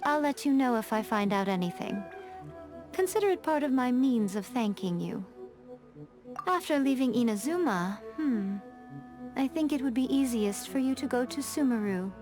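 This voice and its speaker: A woman voicing a sly fox shrine maiden from a video game. Her voice is on the high side, elegant and composed, with formal, worldly-wise phrasing and a playful teasing edge. Game music plays behind her. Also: expressive, moderate pace, a little mellow, natural voice. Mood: composed, courteous. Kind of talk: scripted video game dialogue.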